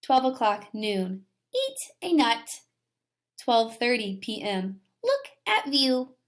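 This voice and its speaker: A woman teacher giving a read-aloud lesson to young children, enunciating deliberately and explaining things simply as she addresses them directly. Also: fairly high pitch, very animated.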